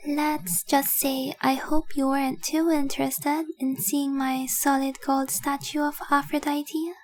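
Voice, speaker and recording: A woman playing a devoted young dragon-blooded fiancée in a romantic audio roleplay, speaking softly, earnestly and adoringly. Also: sits high, level tone, measured pace, no room sound. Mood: devoted, hopeful. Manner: soft, earnest, adoring, often dropping to breathy whispers.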